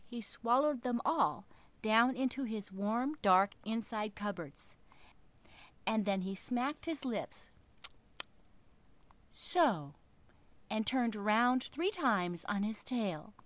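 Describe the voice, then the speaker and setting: A woman narrating a children's story expressively, with a deliberate, rhythmic, dramatic delivery. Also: a little high, animated, fairly bright tone, dry recording.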